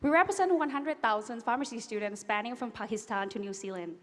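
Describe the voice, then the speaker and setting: Young female student leader from Thailand, higher voice, fervent, hall reverb. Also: fast talker, a bit bright. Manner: impassioned, rallying. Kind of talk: audience comment at conference. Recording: echoey conference hall.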